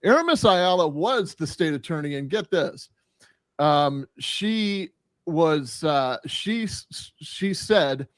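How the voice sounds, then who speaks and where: A man's voice: a little high, expressive, slow pace, no room sound. A man on a podcast casually explaining a news story, retelling exchanges as quoted dialogue. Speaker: podcast panelist.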